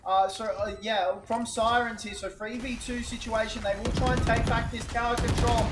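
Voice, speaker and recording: A woman giving excitable play-by-play commentary on an esports match, shouting at big plays as she loses her voice. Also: fairly high pitch, normal speed. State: losing her voice. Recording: roomy echo with noise.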